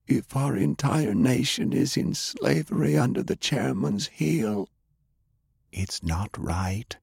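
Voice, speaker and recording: Strained, breathy old war general. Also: male voice, on the high side, a little mellow, no room sound, clean studio sound, pitched above the performer's own voice, exaggerated voice. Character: ruthless old male general, sickly war leader.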